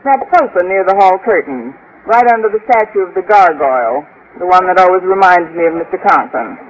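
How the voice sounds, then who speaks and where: A woman's voice: lowish voice, expressive, fairly quick, highs cut off, natural voice. Dry wisecracking woman, played by an actress, noisy old radio. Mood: brisk, scheming. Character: wry, quick-witted schoolteacher woman, bossy schemer. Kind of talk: 1950s radio sitcom acting.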